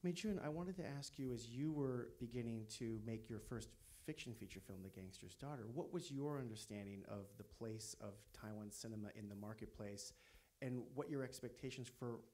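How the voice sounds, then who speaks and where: A man's voice: medium pitch, normal speed, warm tone. A man moderating a film panel on a theater stage with a handheld mic, asking long questions he rephrases as he goes. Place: theater stage before an audience.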